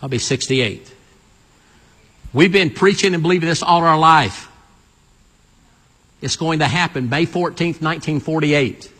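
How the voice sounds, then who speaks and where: A man's voice: a little high, unhurried, long pauses, bright, forceful tone, slightly rough. A man, preaching a sermon and calling on the congregation to answer back. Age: 60s. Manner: call-and-response preaching, urging the congregation. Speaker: pastor. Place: church sanctuary. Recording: long hall reverb.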